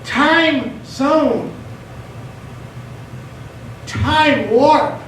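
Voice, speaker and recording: A woman's voice: high-pitched, drawn-out words. A woman performer reciting short poetic phrases dramatically in a staged radio theatre piece. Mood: measured, dramatic. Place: theater stage, recorded from a distance. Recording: very echoey theater, distant mic, faint noise.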